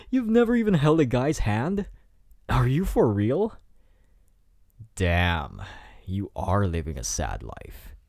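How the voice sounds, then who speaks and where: A man's voice: medium pitch, sing-song, darkish tone, no room sound. Guy playing a cocky flirty delinquent, asmr roleplay, teasing, really swoopy intonation, dry close mic. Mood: mocking, amused. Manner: teasing, smug, drawn-out delivery. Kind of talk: intimate ASMR roleplay acting. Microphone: close microphone in a dry space.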